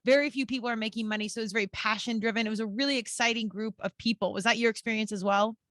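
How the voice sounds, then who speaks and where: A woman's voice: on the high side, fairly even intonation, racing, fairly bright tone, no room sound. A woman hosting a casual podcast interview, speaking in an enthusiastic, informal and affirming way. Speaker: podcast host interviewing a guest.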